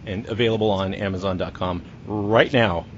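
A man's voice: fairly low pitch, fairly even intonation, moderate pace, a little hiss or hum. A man hosting a podcast, interviewing his guest in a casual conversational style. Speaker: podcast host and interviewer, a writer. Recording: dull lo-fi audio.

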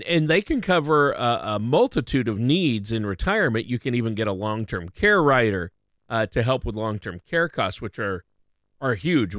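A man's voice: mid-range voice, fairly bright tone, no room sound, clean studio sound. A man hosting a financial radio show in a conversational style.

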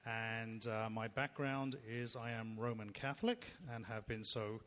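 A man's voice: on the low side, fairly even intonation, measured pace, a bit bright. A man from England introducing himself on a panel, talking with many hesitant ums. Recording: reverberant hall.

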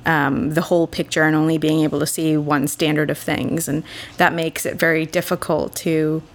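A young woman from Boston talks through her views as a guest on a podcast. Her voice sits a bit low and carries a gravelly fry. She speaks in a thoughtful, earnest way. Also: little pitch movement, normal speed. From Boston, USA. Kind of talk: casual podcast conversation.

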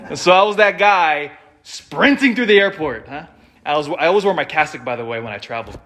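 Priest preaching, measured and emphatic man. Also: fairly high pitch, animated, pushed and bright. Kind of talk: scripture reading and conversational homily.

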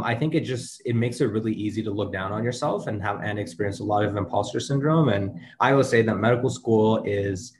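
A young man in his twenties whose first language is Urdu speaks earnestly as an interview guest. His voice sits a little low, and he pauses often with ums and restarts his sentences. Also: darkish tone. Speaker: interview guest, medical student. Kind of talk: casual interview answers.

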